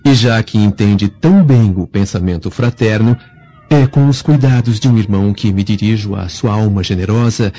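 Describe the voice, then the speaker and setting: An actor playing an earnest, flattering young freedman with formal courtesy and a scheming streak in a radio drama. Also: fairly low pitch, moderate pace, natural voice. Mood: humble devotion. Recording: dull lo-fi radio drama recording.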